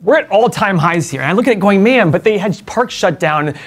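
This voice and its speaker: A man's voice: sits high, animated, fairly quick. A middle-aged man co-hosting a casual finance chat, explaining numbers step by step and asking rhetorical questions. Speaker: co-host and stock analyst.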